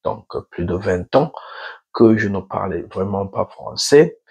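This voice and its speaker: A middle-aged man from Burundi with a Burundian accent, speaking a second language slowly and hesitantly, often pausing to search for words. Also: lowish voice, expressive, gravelly, darkish tone, slightly rough. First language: a Burundian home language. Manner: measured, deliberately slow. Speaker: video host. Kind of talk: casual talk to camera.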